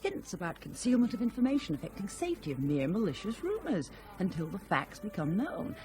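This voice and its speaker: An actress playing a sharp-witted, sceptical female journalist in a radio drama, dry and articulate. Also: mid-range voice, wildly swooping pitch, fairly quick. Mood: dryly ironic.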